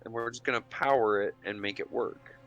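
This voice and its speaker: A man with a fairly low voice plays an improvised tabletop role-playing game. He talks casually, musing aloud, breaking off and starting again as he works out his next move. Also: steady tone, fairly quick, fairly bright tone, muffled recording, faint music behind. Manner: muses aloud, breaks off and restarts.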